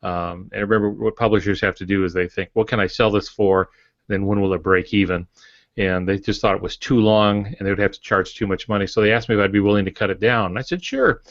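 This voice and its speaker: A man co-hosting a writing podcast as a published author, telling stories in a relaxed way with many pauses. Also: on the low side, no room sound, lo-fi sound. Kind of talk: casual writing podcast chat.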